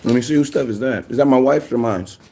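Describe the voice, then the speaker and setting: A young man hosting a casual fan show, talking in slang and repeating himself when excited. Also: medium pitch, quick pace, creaky. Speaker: sports fan show host. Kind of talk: casual live-stream chat.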